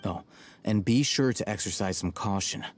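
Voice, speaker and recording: An actor playing an aloof, self-assured young scholar in a video game, speaking in a measured, blunt, dry way. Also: male voice, fairly low pitch, natural voice. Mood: dry, cautioning. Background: music.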